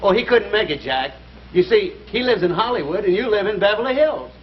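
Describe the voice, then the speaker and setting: An actor playing an unflappable band member making excuses, in 1950s sitcom style. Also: male voice, sits high, lively intonation, brisk. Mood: reassuring, matter-of-fact. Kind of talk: 1950s television sitcom acting before an audience. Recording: noisy, dull 1950s broadcast.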